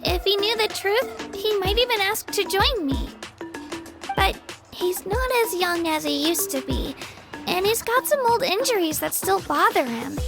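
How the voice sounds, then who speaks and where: A plucky young girl in training as a warrior. The voice is childlike and very high, earnest and eager, in video game style with music behind it. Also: lively intonation, measured pace, heavily acted. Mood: wistful, resolute. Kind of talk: English video game voice acting.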